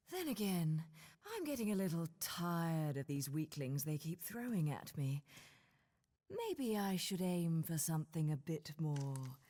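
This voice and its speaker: A woman's voice: sits low, dark tone, a little hiss or hum. An actress playing a sultry, self-assured British witch heroine in a video game, aloof and teasing. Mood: bored, teasing. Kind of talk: video game cutscene acting.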